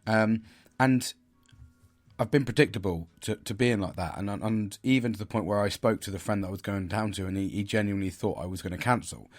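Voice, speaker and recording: Podcast host, middle-aged man from the United Kingdom, husky and low-pitched, halting casual delivery. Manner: hesitant, many fillers and restarts. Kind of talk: casual solo podcast talk.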